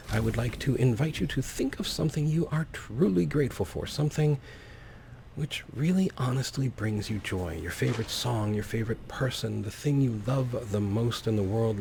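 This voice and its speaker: A male gaming host with a low, relaxed voice and plenty of vocal fry. He comments on his play in short, clipped phrases over faint game ambience. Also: a little hiss or hum. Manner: narrates own actions in short clipped phrases. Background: video game ambience.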